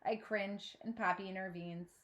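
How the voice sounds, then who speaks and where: A woman's voice: mid-range voice, normal speed. A woman reading a children's novel aloud as a teacher would, narrating in the first person and acting out the dialogue. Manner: expressive, acts out dialogue.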